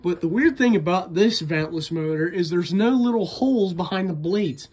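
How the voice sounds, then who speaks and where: A man's voice: high-pitched, expressive, moderate pace, darkish tone, breathy edge. A man talking casually and unscripted as a hobbyist vlogger, in a chatty, rambling, enthusiastic way. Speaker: vlogger, ceiling fan collector. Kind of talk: casual unscripted show-and-tell video narration.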